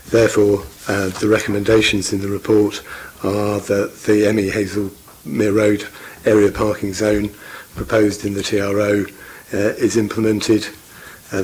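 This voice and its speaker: Brief: council officer, man, low husky voice, flat delivery, measured and halting. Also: poor audio. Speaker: council officer presenting a report. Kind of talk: formal report reading. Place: council meeting room.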